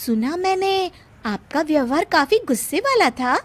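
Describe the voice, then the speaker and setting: A woman's voice: sits high, very animated, unhurried. A woman voicing a bold, sassy young daughter-in-law with a playful manner in a cartoon story. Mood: playful, teasing. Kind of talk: Hindi cartoon story character acting.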